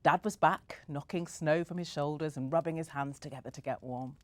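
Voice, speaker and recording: A woman narrates a storybook in a gentle, measured manner. Her voice sits low for a woman. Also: dry recording. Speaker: story reader.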